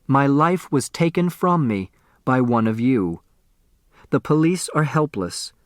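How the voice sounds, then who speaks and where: A man's voice: mid-range voice, animated, a little slow, no room sound, studio quality, lightly acted. Man as a pompous tycoon delivering his last will. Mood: grave, accusing. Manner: pompous, oratorical. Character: dead tycoon's theatrical, commanding will, read aloud.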